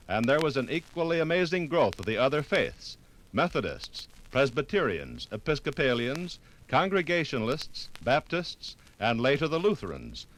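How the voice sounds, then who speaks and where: A man's voice: mid-range voice, a bit bright, slight background noise, rough recording. American radio narrator man, sweeping intonation. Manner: grand, formal storytelling. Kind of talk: 1950s radio documentary scripted narration. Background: faint music.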